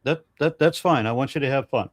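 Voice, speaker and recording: A man hosting a casual panel discussion, halting and repeating words as he thinks. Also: mid-range voice, dry recording, clean studio sound. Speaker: host.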